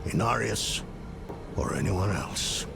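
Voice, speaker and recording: An actor playing an earnest, weathered mage in a dark fantasy game, solemn and resolute. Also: male voice, low-pitched, expressive, takes it slowly, vocal fry, music in the background, full character voice. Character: earnest, weathered male mage of a secret order.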